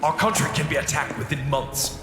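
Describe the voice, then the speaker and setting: A man's voice: a little high, a little fast, noisy background, lightly acted. An actor playing a proud, weary fantasy king who speaks in grand, formal, archaic phrases for an audio drama. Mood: grave, commanding. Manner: formal archaic speech, declamatory. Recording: loud music over the voice.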